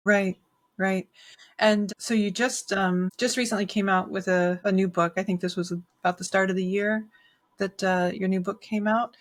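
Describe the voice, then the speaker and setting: A woman hosting a podcast, reading a polished intro and then asking questions in a measured way. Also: medium pitch, fairly even intonation. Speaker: podcast host. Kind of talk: scripted intro and interview.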